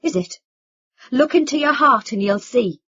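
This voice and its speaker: A woman reads out a young man in a bitter fury, shouting hard-edged accusations in a raised, high-pitched, loud voice. His sarcasm cuts through the dull, lo-fi audiobook recording. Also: animated, a little slow, slightly rough, exaggerated voice. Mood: sarcastic. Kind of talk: audiobook character reading.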